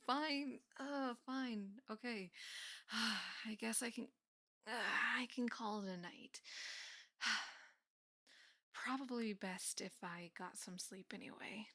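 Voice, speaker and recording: A young woman playing a teasing, affectionate college best friend in an intimate roleplay audio, speaking softly and often slipping into whispers. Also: on the high side, slow, long pauses, no echo, studio quality, full character voice. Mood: amused, reluctant. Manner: soft, often dropping into whispers, sighing. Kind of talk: intimate roleplay audio acting, casual and soft.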